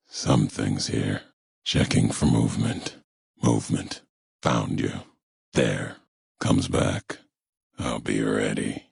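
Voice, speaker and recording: A haunted, vengeful wanderer from a video game. The voice is extremely low and thick with gravelly fry, worn husky at the edges. He speaks gravely in short, cryptic, measured phrases, in no hurry at all. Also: fairly bright tone, no room sound, exaggerated voice, rough recording. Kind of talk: video game character dialogue, solemn monologue.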